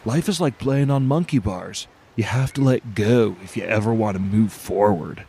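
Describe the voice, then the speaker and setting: A man's voice: fairly low pitch, normal speed, vocal fry, warm tone, slight background noise. A man playing a laid-back stoner food truck owner who rambles grandly and philosophically in an audio drama. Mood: mock-profound. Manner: rambling, grandiose, mock-profound. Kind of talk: audio drama character acting.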